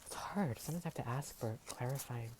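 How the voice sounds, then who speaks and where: Very low-voiced woman reading tarot. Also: fast, heavy background noise. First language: Tagalog. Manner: meandering, hesitant, full of fillers and trailing phrases. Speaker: tarot reader. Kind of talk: casual tarot reading monologue. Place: home filming setup. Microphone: overhead camera setup.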